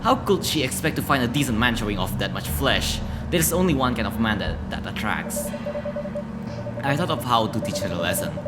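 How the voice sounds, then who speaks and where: A man voicing a bitter, jealous, sadistic older woman caretaker in a visual novel, halting and brooding, over prominent music. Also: on the low side.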